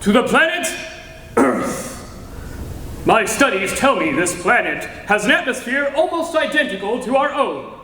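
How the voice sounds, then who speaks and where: A man acts a grave, impassioned scientist of a doomed world in a radio play. His voice is very high-pitched and forceful, ringing in an echoey hall. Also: sing-song, measured pace, a little hiss or hum, exaggerated voice. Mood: grave, insistent. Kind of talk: 1940s-style radio acting.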